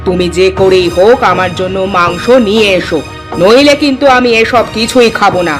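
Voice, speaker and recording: A woman's voice: medium pitch, normal speed, music in the background, lightly acted. A woman voicing a demanding, petulant young village wife in a cartoon story, insistent and scolding. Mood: petulant, threatening. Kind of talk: Bengali cartoon story voice acting.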